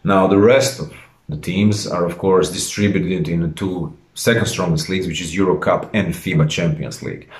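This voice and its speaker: Man, late 30s, low voice, formal head coach addressing fans in a roomy, echoing space. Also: fairly even intonation, normal speed. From Croatia. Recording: roomy echo.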